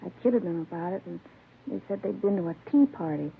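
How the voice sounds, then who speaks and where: A woman's voice: fairly low pitch, a little fast, creaky. Teen girl on 1950s radio show, earnest n hesitant, tinny old recording. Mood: uneasy, earnest. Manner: hesitant, innocent, trailing afterthoughts. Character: earnest, hesitant teenage high school girl. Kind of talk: 1950s radio drama acting. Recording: tinny, faintly noisy vintage radio recording.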